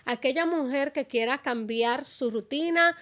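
A woman's voice: high-pitched, measured pace, fairly bright tone. A woman with a Dominican accent hosting a podcast, chatting enthusiastically with her guest. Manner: enthusiastic, affirming interjections. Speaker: podcast host. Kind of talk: casual podcast chat.

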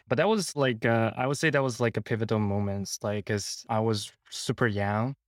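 A man with a Hong Kong accent speaks in a fairly low voice, recounting his own experiences in a casual interview style. The sound is dry and close, with little room to it. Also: moderate pace, dry recording. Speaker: podcast guest. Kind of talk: casual interview, personal storytelling.

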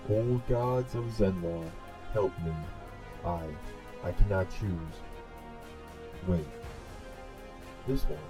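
A solemn male cosmic herald, acted by a man, speaks in a low, grave voice. The delivery is deliberate and theatrical, weighed down by torment, in a comic-dub monologue with music underneath. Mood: pleading, resigned. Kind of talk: comic dub dramatic narration.

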